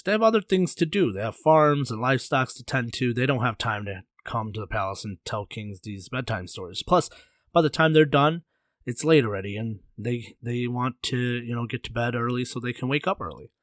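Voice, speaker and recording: A man's voice: mid-range voice, animated, brisk, no echo, studio quality. A man telling a folktale as a storyteller in a casual, conversational way, with playful slang.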